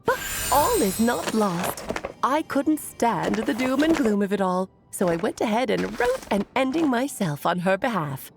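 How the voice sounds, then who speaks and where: A woman's voice: a little high, big pitch swings, measured pace, gravelly, heavily acted. A woman playing a playful, whimsical storyteller mage aunt in a video game, with a theatrical, teasing, grandiose delivery. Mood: matter-of-fact. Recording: music behind the voice.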